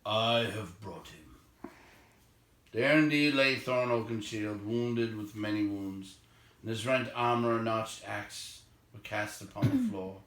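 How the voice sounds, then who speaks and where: Guy reading a novel out loud, slow measured storytelling, normal pitch, roomy echoey recording. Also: lively intonation, creaky. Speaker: amateur storybook reader. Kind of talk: dramatic read-aloud narration of a novel.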